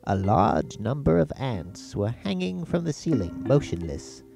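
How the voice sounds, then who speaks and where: Slow, animated male storyteller with creaky voice. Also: mid-range voice. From Britain. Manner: expressive, unhurried storytelling. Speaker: storybook reader. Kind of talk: scripted children's story narration. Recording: music playing behind the narration. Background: music.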